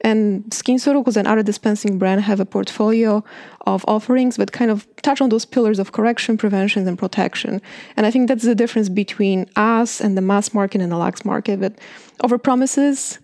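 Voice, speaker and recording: A woman's voice: mid-range voice, brisk, darkish tone, no echo. A woman in her late thirties from Poland with a heavy Polish accent, chatting as an interview guest with many fillers and restarts. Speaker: beauty industry guest. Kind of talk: interview answers.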